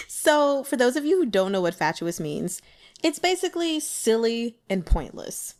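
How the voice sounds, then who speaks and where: A woman talking casually through her notes on a book, in a chatty, giggly and animated manner. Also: fairly high pitch, a little slow. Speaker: audiobook reader and book commentator.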